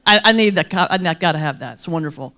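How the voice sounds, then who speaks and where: A woman from the mountains of North Carolina with a Southern accent, a pastor and comedian giving a lively, humorous keynote speech to a large luncheon crowd. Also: on the low side, quick pace. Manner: lively, playful, folksy with audience call-and-response. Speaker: pastor and comedian, guest speaker. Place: large banquet hall before a crowd.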